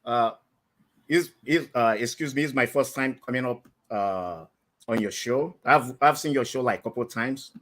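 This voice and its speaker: A man's voice: mid-range voice, moderate pace, fairly bright tone. A man from Nigeria with a Nigerian accent, calling in to a live stream show and talking hesitantly, with many fillers and restarts. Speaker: caller.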